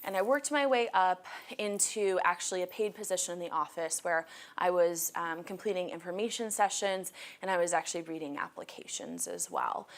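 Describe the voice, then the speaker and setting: A woman's voice: on the low side, expressive, normal speed. A young woman in her twenties, a college counselor, giving a friendly, organized school presentation to students and parents, wearing a mic, with frequent fillers. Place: school meeting room.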